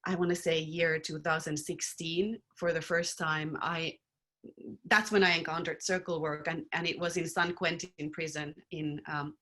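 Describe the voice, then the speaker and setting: A woman raised in Finland, speaking as a panelist in a thoughtful, earnest and measured way. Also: low voice, fairly even intonation, fairly bright tone. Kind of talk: reflective panel talk.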